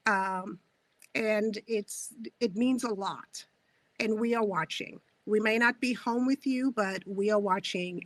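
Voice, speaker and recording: Slow, earnest woman hosting an interview. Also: medium pitch, a bit bright, dry recording. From South Africa. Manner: earnest, measured, with frequent filler pauses. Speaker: host and publisher. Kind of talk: interview introduction.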